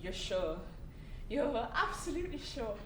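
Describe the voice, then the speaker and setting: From far off on an echoey stage, an actress plays a concerned woman with a fairly high voice. She is a doubtful, questioning official of the afterlife. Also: sing-song, unhurried. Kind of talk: stage play acting. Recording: echoey stage, far from mic, faint noise.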